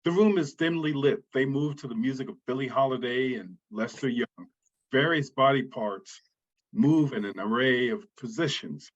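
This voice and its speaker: A husky man narrates a screenplay's stage directions. His pitch is average, and the recording sounds a little muffled. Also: normal speed. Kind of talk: scripted narration.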